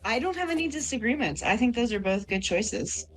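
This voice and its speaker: A woman's voice: fairly high pitch, animated, brisk. A woman player chatting and describing her character in a tabletop role-playing game. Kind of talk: casual tabletop game chat and narration. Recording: music under the voice.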